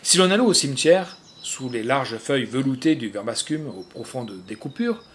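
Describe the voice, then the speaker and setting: A man narrating a literary short story in a dramatic, expressive manner. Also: medium pitch, normal speed. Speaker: audiobook narrator.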